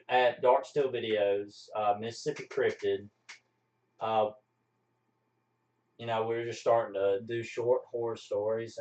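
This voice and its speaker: A man's voice: medium pitch, a little mellow. A man chatting casually as he promotes his channel on a livestream. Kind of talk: casual chat. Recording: muffled, echoey, distant mic.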